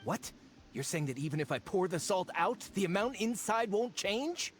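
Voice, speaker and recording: An actor playing a greedy, dismissive treasure hunter in a video game, with a boastful, excitable manner. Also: male voice, high-pitched, normal speed, a little hiss or hum. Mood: astonished.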